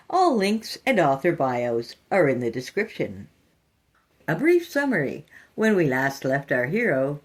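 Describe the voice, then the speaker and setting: A woman reading a scripted horror podcast introduction as host, in a theatrical, playfully macabre manner. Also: sits low.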